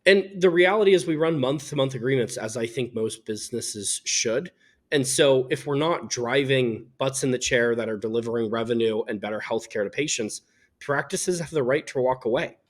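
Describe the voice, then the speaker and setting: A man with a mid-range voice talks as a guest in a business interview. He explains how his company works in a measured, articulate way and comes across as candid. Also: medium pitch. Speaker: company founder being interviewed.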